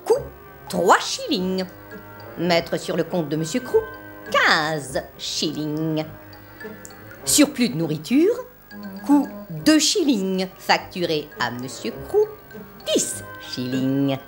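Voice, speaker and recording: Prim, theatrically swooping cartoon headmistress. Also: female voice, fairly low pitch, wildly swooping pitch, slow, long pauses, faint music behind, exaggerated voice. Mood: scheming, calculating. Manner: prim, haughty, syrupy with rich parents. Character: greedy, strict, two-faced boarding school headmistress. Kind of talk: theatrical French cartoon dub acting.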